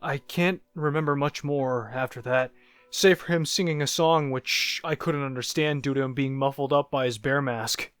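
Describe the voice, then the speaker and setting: A man voicing a haunted narrator who looks back on disturbing childhood memories in a first-person horror story, halting and reflective, like a confession. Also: mid-range voice, fairly even intonation, fairly bright tone, smooth, no room sound, natural voice. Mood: uneasy, recalling. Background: music.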